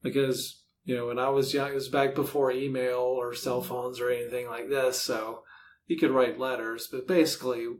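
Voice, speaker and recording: A man's voice: medium pitch, level tone, moderate pace, a little hiss or hum. A middle-aged American man chatting casually and easily as an author being interviewed. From United States.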